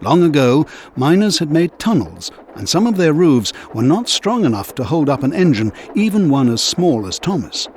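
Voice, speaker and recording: A man narrating a scripted children's story in an expressive, measured storytelling manner. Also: on the high side, slight background noise.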